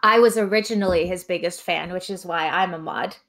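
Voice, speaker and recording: A woman's voice: lowish voice, fairly quick, fairly bright tone. A woman chatting casually as a guest on a livestream. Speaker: stream guest and chat moderator. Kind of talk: casual chat.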